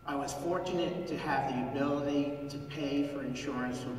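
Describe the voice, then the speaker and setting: A man in his fifties, a physician, speaking at a town hall in a halting, earnest way and clearing his throat between phrases. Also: medium pitch, fairly even intonation, a little mellow, slight background noise. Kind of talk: public comment at a town hall. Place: large theater hall. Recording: echoey theater heard from far off.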